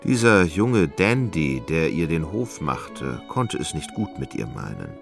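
A man with a very deep, creaky voice giving literary narration in a radio play. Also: sing-song, unhurried, over music.

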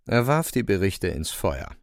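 A man narrating a radio drama from a script, in an expressive storytelling style. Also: deep voice, unhurried, no echo, studio quality.

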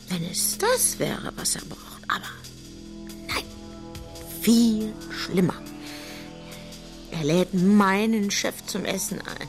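An actress playing a distraught, suspicious wife in a German radio drama, speaking in a halting, agitated way. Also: female voice, lowish voice. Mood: bitter, despairing. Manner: halting, agitated, emotional delivery. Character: distraught, bitter, suspicious wife confiding her fears.

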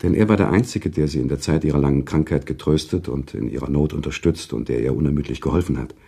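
A man playing an earnest, moralising gentleman in a German radio play, with a husky, dark voice and formal, precise speech. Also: very deep voice, fast talker, exaggerated voice. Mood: earnest, solemn. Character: earnest, indignant male narrator-friend, a moralising gentleman.

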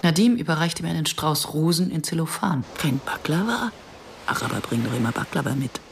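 An actress playing an Israeli woman's wry inner voice in a radio drama, muttering self-critical asides in a hushed manner. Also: low voice, lively intonation, a little fast, vocal fry, slight background noise. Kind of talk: radio drama interior monologue.

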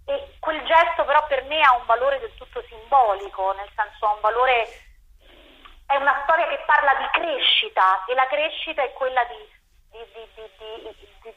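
A woman interviewed as a theatre director over the phone, reflective and searching for words as she explains. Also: high voice. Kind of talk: spontaneous interview answers. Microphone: telephone. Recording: dull, lo-fi phone line.

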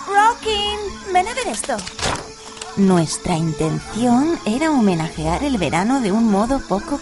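A woman with a Spain accent acting and narrating in playful, colloquial scripted radio fiction. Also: fairly high pitch.

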